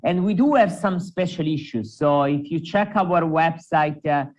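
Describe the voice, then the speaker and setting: A man with a strong Italian accent speaks in a slightly high voice, filling his pauses with "uh". The sound is muffled and lo-fi, like an online call. He walks a panel through advice as a journal editor. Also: expressive. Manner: hesitant, many fillers. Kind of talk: conference panel remarks.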